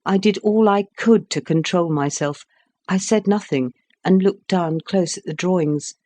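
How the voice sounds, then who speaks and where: A man's voice: high-pitched, measured pace, mellow. A man reading a weary young wife. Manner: weary, subdued, evenly told. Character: young wronged wife, weary, calm and cold.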